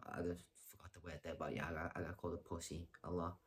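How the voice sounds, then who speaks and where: Low-voiced young man rambling. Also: fast talker. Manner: rambling, hesitant, full of ums and self-corrections; deadpan self-mocking humor. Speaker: vlogger. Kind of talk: casual talking-to-camera monologue.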